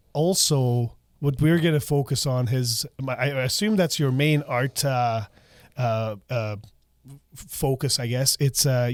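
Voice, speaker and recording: A man from Slovakia with a Slovak accent, hosting a casual podcast chat, laughing often and talking in quick bursts. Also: medium pitch, clear, resonant tone, no echo, studio quality. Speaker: podcast host.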